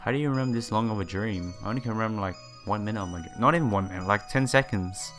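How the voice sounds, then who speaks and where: Low-voiced man reading a game aloud. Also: racing. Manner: stumbles over words while reading. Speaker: gameplay streamer reading the story aloud. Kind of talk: reading game text aloud with casual asides. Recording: game music behind the voice.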